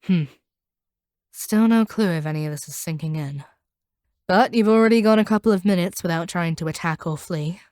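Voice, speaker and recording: A proud female monster hunter, acted by a woman for a fantasy audio roleplay. Her voice sits on the low side, recorded close and dry. She talks down to her listener with stern, deliberate command and cold ruthlessness. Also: a little mellow, no room sound, lightly acted. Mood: cold, warning. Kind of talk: scripted audio roleplay acting.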